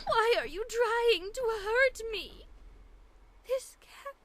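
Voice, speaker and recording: A woman voicing a distraught, pleading female pony in a melodramatic fan fiction reading, drawn-out and tearful. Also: very high voice, expressive, crowd noise, heavily acted.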